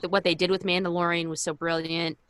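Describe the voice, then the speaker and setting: A woman's voice: on the low side, little pitch movement, fast talker, over a noisy crowd. A woman co-hosting a podcast in casual chat, speaking informally and with enthusiasm. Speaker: podcast co-host.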